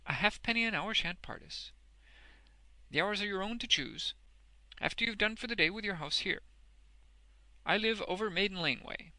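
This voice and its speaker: A man's voice: sits high, gravelly, pushed and bright, dry recording, pitched above the performer's own voice, heavily acted, rough recording. A man voicing a hearty, uneducated old working man in a storybook, blunt and exuberant, with emphatic, ungrammatical speech.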